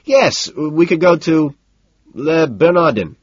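A man voicing a nervous, ingratiating young yuppie in an audiobook story, halting and deliberate, slyly insistent. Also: on the high side, expressive, breathy edge, no echo, dull audio, pitched above the performer's own voice, exaggerated voice. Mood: deliberate, probing. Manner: halting, deliberate, over-eager.

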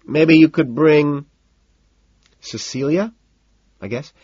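A man voicing a jovial, self-assured yuppie socialite banker in an audiobook story, loud and hearty. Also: on the high side, takes it slowly, dry recording, muffled recording, voice pushed up. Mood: jovial, breezy.

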